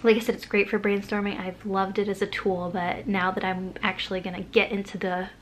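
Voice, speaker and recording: A woman's voice: medium pitch, little pitch movement, fairly quick, slight background noise. A young woman with a stuffy nose from a cold, chatting casually to camera in a rambling, self-deprecating way. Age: young adult. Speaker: writing vlogger.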